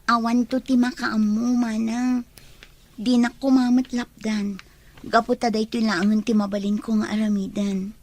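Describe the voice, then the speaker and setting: A woman's voice: a little high, little pitch movement, moderate pace, a little mellow, close to an everyday voice. A woman playing a young woman secretly in love with her friend's boyfriend, in a radio-style drama. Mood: lovelorn.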